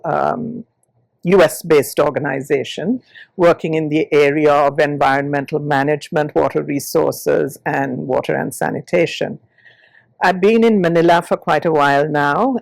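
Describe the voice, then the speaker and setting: An older woman from Sri Lanka, introducing herself as a guest in a measured, relaxed way on a podcast. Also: low voice, gravelly, no room sound. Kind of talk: podcast interview self-introduction.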